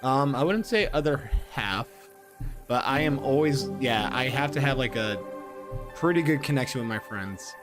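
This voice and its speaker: A man in his twenties talks at a medium pitch, reading aloud and breaking off to comment. He rambles with lots of fillers and repeated words, often joking at his own expense. Music plays clearly beneath his voice. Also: expressive, moderate pace. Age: 20s. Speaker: gaming streamer. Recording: music playing underneath.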